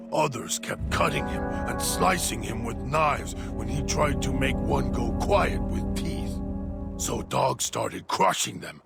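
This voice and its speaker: A man voicing a deranged, hungry male dog-creature who talks of himself in halting, broken third-person speech. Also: medium pitch, heavily acted. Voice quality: gravelly. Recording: music bed underneath.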